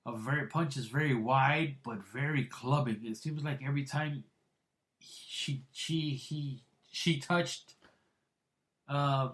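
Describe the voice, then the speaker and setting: Man, podcast host, casual boxing talk, rambling, crude, loud bursts then mutters. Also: mid-range voice, slow pace. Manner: rambling, many restarts and fillers, blunt and crude.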